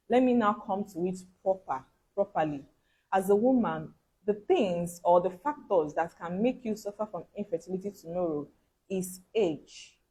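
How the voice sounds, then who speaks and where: A woman's voice: mid-range voice, a little mellow, slightly rough, poor audio. A woman giving an instructional health talk on fertility, repeating key points and checking in with listeners.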